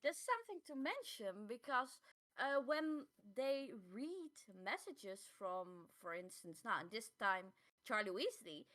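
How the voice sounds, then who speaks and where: A woman's voice: fairly high pitch, expressive, a little slow, pushed and bright. A native Dutch woman speaking English in a casual discussion as a podcast co-host.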